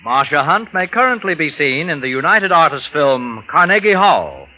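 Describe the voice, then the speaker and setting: Male vintage radio announcer, extremely bright and sharp, with lively intonation for show credits. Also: a little high, normal speed, low-quality recording. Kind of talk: 1940s radio closing announcement.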